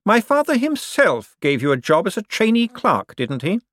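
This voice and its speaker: Smug, moneyed male bank director for an audiobook, read by a male narrator: suave menace, pitched up, very lively intonation. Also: dry recording, studio quality, full character voice. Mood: oily, falsely generous. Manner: suave, condescending, drawn-out hints. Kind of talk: audiobook character dialogue.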